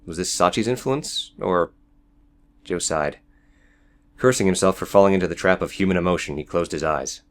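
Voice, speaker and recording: A man's voice: low voice, moderate pace. A man reading scripted fiction as the narrator of an audiobook.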